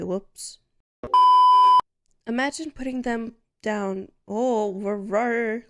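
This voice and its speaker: A woman's voice: medium pitch, vocal fry. A woman ASMR creator in casual outtakes, playfully stumbling over script lines and restarting them with nonsense syllables.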